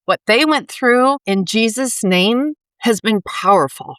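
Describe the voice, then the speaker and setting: A woman's voice: fairly high pitch, unhurried, a bit bright, dry recording. A woman co-hosting a faith podcast, chatty and explaining, a bit stuffy from allergies, often tagging questions on her points. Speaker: podcast co-host. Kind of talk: casual faith chat.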